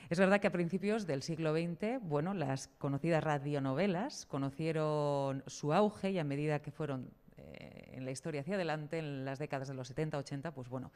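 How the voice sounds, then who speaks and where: A woman's voice: low voice, darkish tone. A woman introducing a public round table as its presenter. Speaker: event presenter.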